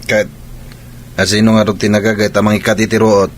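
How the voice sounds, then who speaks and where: A man's voice: on the low side, little pitch movement, fairly quick, slight background noise, natural voice. A man with an Ilocano accent playing a lazy, carefree young farmer who likes a drink, drawling colloquially in a radio comedy drama. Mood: reluctant.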